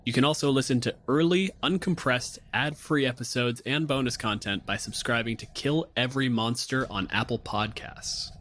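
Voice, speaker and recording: A man's voice: mid-range voice, normal speed, hardly any pauses, a bit bright. A man from Canada co-hosting a podcast in a conversational way. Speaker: podcast co-host, physicist.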